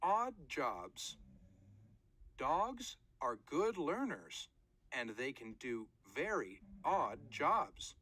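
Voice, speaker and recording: Very animated woman reading aloud. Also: a bass voice, very slow, husky. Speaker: read-aloud narrator. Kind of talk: reading a children's nonfiction book aloud.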